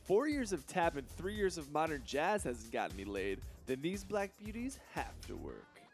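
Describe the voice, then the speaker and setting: A man's voice: on the high side, very animated, normal speed. Guy doing a whiny spoiled teen rich kid, bragging, swoopy voice, music under it. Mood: boastful. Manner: whiny and boastful, with sudden loud outbursts. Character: bratty, horny, self-absorbed teen rich boy hero. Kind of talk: animated comedy voice acting.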